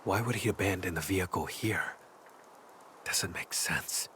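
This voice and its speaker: An actor voicing a brooding, determined male investigator in a video game, deliberate and grim in manner. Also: sits low, a bit bright, slight background noise. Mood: puzzled, focused.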